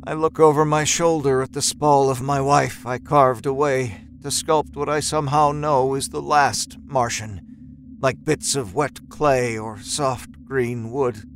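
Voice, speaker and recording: A man reading first-person horror fiction as dramatic scripted narration, with a deliberate, theatrical delivery. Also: medium pitch, fairly even intonation, a little hiss or hum. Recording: narration over a music score. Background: soft musical score.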